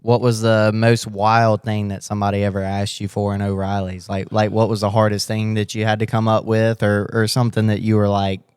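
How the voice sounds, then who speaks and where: A man's voice: on the low side, monotone, fairly quick, few pauses, darkish tone, clean tone, no room sound, clean studio sound. A man hosting a casual racing podcast interview, rambling and restarting his questions as he goes. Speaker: podcast host.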